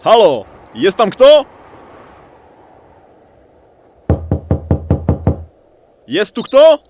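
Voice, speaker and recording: A man's voice: high-pitched, wildly swooping pitch, slow pace, a little mellow, a little hiss or hum, exaggerated voice. A man acting as a weary, solitary searcher of storm-ravaged homes in an audio drama. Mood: anxious, calling out.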